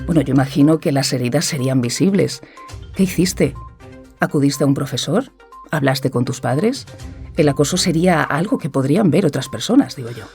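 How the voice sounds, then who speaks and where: A man playing a sympathetic, gently probing interviewer in an audio drama, with a husky, creaky voice. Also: on the high side, expressive, moderate pace, faint music behind. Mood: concerned, probing.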